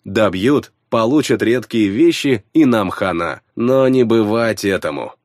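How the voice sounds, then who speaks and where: A man narrating fiction in the first person, scripted and expressive, on a close studio mic. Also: on the low side, a little slow, no room sound. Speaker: audiobook narrator. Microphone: close studio microphone.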